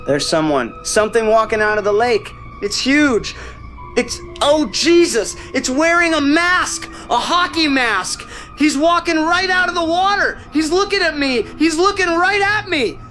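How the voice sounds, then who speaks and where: A man's voice: very high voice, animated, unhurried, pushed and bright, heavily acted. Guy acting as a scared young man calling for help, stammery and repeating himself, audio drama, bit of music behind. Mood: screaming terror. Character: panicked young man phoning for emergency help.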